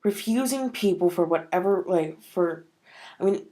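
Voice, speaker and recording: A woman's voice: fairly low pitch, animated, normal speed, warm tone. A woman talking casually to camera in a vlog, sniffly from a cold and rambling with many fillers. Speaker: vlogger.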